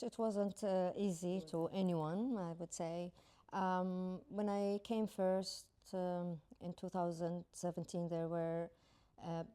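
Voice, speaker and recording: Low-voiced woman guest from Yemen. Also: mellow. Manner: halting, searching for words with many uh's. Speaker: podcast guest. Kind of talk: casual panel discussion.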